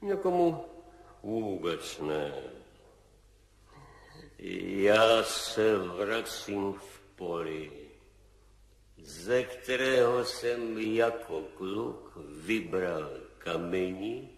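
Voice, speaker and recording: An actor playing the gentle ghost of an old grandfather in a 1970s radio play, speaking calmly and tenderly. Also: lowish voice. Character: dead grandfather spirit, old man, gentle and wise. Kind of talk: 1970s radio drama acting. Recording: echoey room with long reverb.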